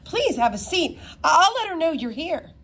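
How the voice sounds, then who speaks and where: A woman's voice: extremely high pitch, sing-song, moderate pace, a bit bright, pitched above the performer's own voice, exaggerated voice. A woman voicing a polite, formal female school receptionist in a storybook. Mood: respectful. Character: guarded, then respectful female school receptionist.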